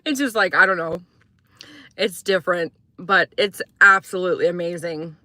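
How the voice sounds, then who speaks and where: Young woman from croatia, rambling true-crime stream host, bright crisp voice, dry close sound, chats with viewers. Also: fairly low pitch, moderate pace, dry recording. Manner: chatty, rambling, reads and answers chat. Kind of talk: casual morning livestream commentary.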